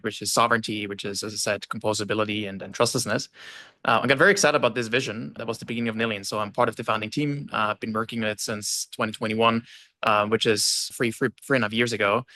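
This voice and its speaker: A man's voice: on the low side, bright, forceful tone, dry recording. A young man from Austria, a podcast guest on a video call, talking fluently with many fillers and tag questions. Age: young adult. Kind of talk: casual interview talk. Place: indoor room with plant, video call.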